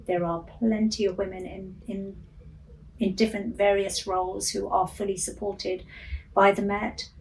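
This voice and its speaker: A middle-aged woman police officer whose first language is Urdu, talking earnestly in a radio interview with many ums. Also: medium pitch. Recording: distant mic with faint noise.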